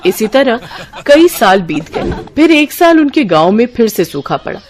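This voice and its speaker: A woman's voice: a little high, sing-song, a little slow, a little mellow. A woman narrating a scripted story as a storyteller.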